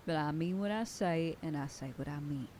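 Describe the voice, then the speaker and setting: A woman's voice: deep voice, normal speed, darkish tone, a little hiss or hum, close to an everyday voice. A woman voicing a bossy female werewolf sheriff who lectures her pack in an ASMR roleplay, sometimes dropping to a whisper. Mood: stern warning. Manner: stern, commanding, lectures a group. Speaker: voice actor.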